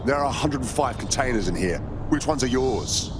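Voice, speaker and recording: An actor playing a terse, exasperated operative in a video game, blunt and sweary. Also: male voice, medium pitch, expressive, fairly quick, heavy background noise, lightly acted. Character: gruff, exasperated male operative in combat. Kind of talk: scripted game dialogue.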